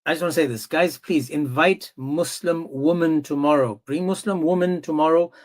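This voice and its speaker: A man's voice: fairly high pitch, steady tone, normal speed, darkish tone. Insistent man hosting a heated debate. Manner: insistent, interrupting, repeats himself. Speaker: stream host. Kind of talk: casual live-stream debate.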